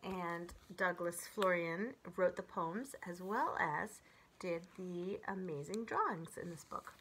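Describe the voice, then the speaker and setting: A woman in her forties reading children's poems aloud and chatting between them in a gentle, expressive way, as a storyteller speaking to young children. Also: on the low side, slow pace, a little hiss or hum. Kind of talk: read-aloud of children's poems with casual commentary. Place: small furnished room at home, indoors.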